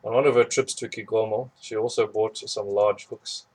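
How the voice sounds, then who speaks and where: A man reads a memoir aloud, telling it plainly and steadily with no frills. His voice sits on the low side and has a husky, slightly breathy edge. The delivery is unhurried narration throughout. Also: steady tone, a little mellow, rough recording. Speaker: audiobook reader.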